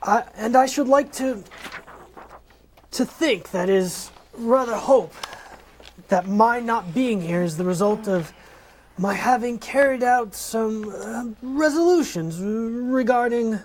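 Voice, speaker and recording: A man's voice: very high voice, slow, a little hiss or hum. A man reading the part of an old male miser in an amateur comedy radio play, hesitant and stammering. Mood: fussy. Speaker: lead actor in a comedy reading.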